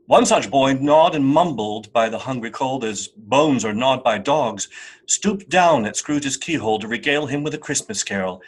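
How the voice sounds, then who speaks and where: A man narrating a classic tale for a radio play in an expressive, literary, wry manner. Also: mid-range voice, moderate pace, breathy edge, close to an everyday voice, low-quality recording. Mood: atmospheric. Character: storybook narrator, wry and atmospheric. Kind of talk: dramatic scripted narration.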